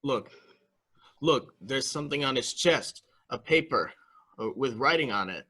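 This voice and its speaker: Man playing tense, husky, fretful household man. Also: mid-range voice, animated, a little slow, sharp tone, full character voice. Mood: agitated. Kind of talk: dramatic reading of a murder mystery.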